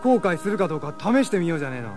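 Casual cocky teen boy, expressive pitch, audio drama, background music. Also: rapid-fire. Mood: gentle, cocky. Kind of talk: Japanese audio drama acting.

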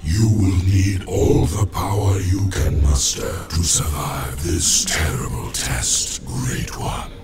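Deep, slow, ancient oracle spirit. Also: male voice, background noise. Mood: solemn. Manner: grave, formal pronouncements. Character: ancient solemn oracle spirit in a giant statue. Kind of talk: portentous video game acting. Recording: loud music behind.

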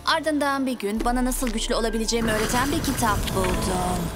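Loud music plays behind a high-pitched young sorceress, acted by a woman. She is an obsessed cartoon villain who talks in a theatrical, commanding way and nurses a grudge. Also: quick pace, close to an everyday voice. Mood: bitter, resolute. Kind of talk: animated cartoon dub acting.

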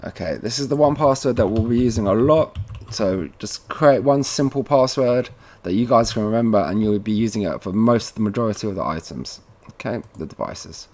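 Man giving a casual software walkthrough. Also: fairly low pitch, expressive, brisk, hardly any pauses. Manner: informal, addresses viewers as guys. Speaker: tutorial presenter, installer. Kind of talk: casual step-by-step software tutorial.

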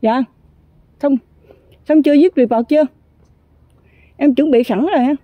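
A woman's voice: high-pitched, unhurried, dry recording, phone-quality sound. Chatty woman touring a building site. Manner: chatty, rambling, many fillers. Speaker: vlogger touring a construction site. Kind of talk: casual walking commentary. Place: outdoor construction site.

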